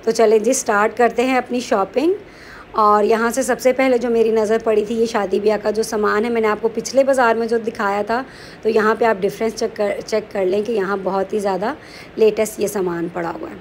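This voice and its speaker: A woman with a Pakistani Urdu accent talks through a shopping vlog in a chatty, upbeat voice-over. Her voice sits slightly high, and she mixes English words into her Urdu. A faint hum of noise runs underneath. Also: steady tone, a little fast. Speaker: vlogger.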